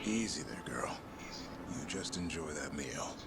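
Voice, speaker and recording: An actor playing a restless elf warrior in a video game, gruff but gentle with animals. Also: male voice, very deep voice, lively intonation, measured pace, over loud music, heavily acted. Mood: gentle, soothing.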